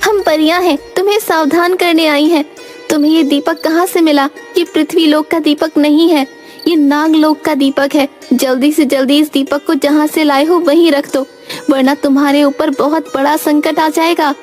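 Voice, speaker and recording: A woman voicing an earnest, commanding fairy in an animated fairy tale. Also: high voice, moderate pace, faint music behind, natural voice. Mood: urgent warning. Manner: urgent, explanatory, commanding. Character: earnest female fairy warning a human.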